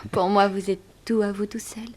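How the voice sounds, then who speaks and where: A woman's voice: mid-range voice, flat delivery, normal speed, a little mellow, close to an everyday voice. An actress playing a naive, adoring young society woman, gushing and girlish, in 1960s French radio drama style. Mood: amused, adoring.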